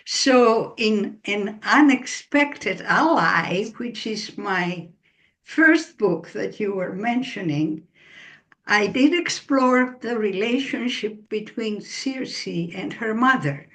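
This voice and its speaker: A woman author speaking in a slow, measured way in an interview, pausing as she explains. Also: medium pitch, lively intonation, fairly bright tone. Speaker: author guest. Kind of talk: relaxed interview answers.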